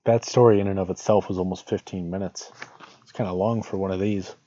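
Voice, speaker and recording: A man with a relaxed, dark voice reads and chats like a storytelling streamer. The sound is dull and somewhat echoey. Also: sits low, fairly quick. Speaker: streamer reading fiction. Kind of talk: storybook narration and casual stream chat. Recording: dull lo-fi audio, roomy echo.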